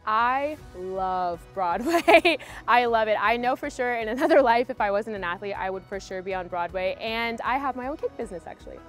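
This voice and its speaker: A young woman, the homecoming queen, talking in a lively, enthusiastic way in an outdoor campus interview. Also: on the high side, normal speed, hardly any pauses, soft background music. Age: young adult.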